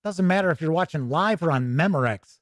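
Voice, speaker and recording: A man with a fairly high voice hosts a gaming show. He talks in a casual, folksy way with jokey turns of phrase, and the sound is very dry, with no room to it. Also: animated, fairly quick, dry recording. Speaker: YouTube host of a tabletop gaming show. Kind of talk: casual livestream sign-off chat.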